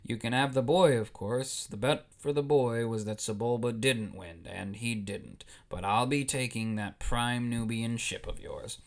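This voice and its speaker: A man's voice: mid-range voice, a little slow, hardly any pauses, voice pushed down, natural voice. A man voicing a wheedling, aggrieved alien junk dealer in a retold story, pleading and insistent.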